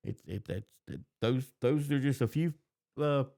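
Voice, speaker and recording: A man in a casual podcast chat, informal and opinionated, often halting as he searches for his words. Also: medium pitch, expressive, creaky, dark tone. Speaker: podcast co-host. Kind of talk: casual fan discussion.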